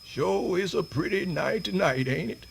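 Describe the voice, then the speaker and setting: A man's voice: sits high, expressive, vocal fry, a little hiss or hum, exaggerated voice. A man playing a spirited, very old American folk storyteller born a slave, dramatic and folksy in a radio theatre monologue. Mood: folksy, rambling. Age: older. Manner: dramatic drawn-out delivery, folksy hesitations and drawl.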